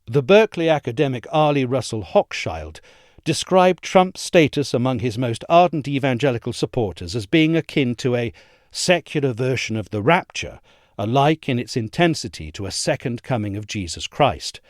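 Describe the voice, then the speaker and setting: Dry, close-sounding male essay narrator, animated pitch. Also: dry recording. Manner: measured, formal delivery. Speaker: reader of an audio essay. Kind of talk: scripted narration of a political essay.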